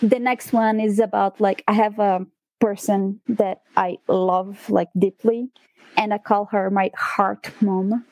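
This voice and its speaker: A woman's voice: medium pitch, steady tone, slow pace, a little mellow, dry recording, low-quality recording. A young woman from Brazil with a Brazilian Portuguese accent, chatting casually as a guest author with lots of fillers and laughs. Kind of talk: casual interview chat.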